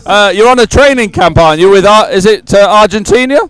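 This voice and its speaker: A man's voice: high voice, brisk, a bit bright. A man working as a roving sports reporter, interviewing and announcing in a chatty, informal manner. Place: outdoors beside a race course.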